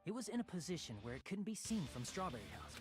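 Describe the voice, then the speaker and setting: A man's voice: high-pitched, very animated, quick pace. A male actor playing an earnest teenage boy detective in a video game, reasoning emphatically. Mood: determined. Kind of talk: video game dub acting. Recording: game music under the voice.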